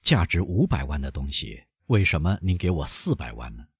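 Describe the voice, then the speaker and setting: A man voicing a cold, calm male bandit chief, husky, low and quiet, flat and unmoved. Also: moderate pace, dry recording, studio quality, full character voice. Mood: mocking.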